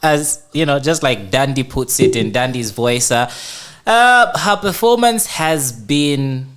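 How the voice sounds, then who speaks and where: A man with a mid-pitched voice co-hosts a casual talk show. He speaks hesitantly, working through his thoughts with frequent fillers and restarts. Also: lively intonation, slow, fairly bright tone. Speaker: co-host. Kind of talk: casual podcast discussion.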